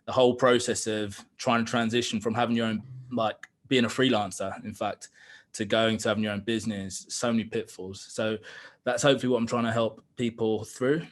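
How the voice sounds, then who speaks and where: A man's voice: on the low side, fairly even intonation, fairly quick. A man in his thirties from East London with an East London accent, talking openly and reflectively as a podcast guest, with plenty of ums. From East London, England. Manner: open, reflective, lots of ums. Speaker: podcast guest, digital consultant. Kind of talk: casual interview chat.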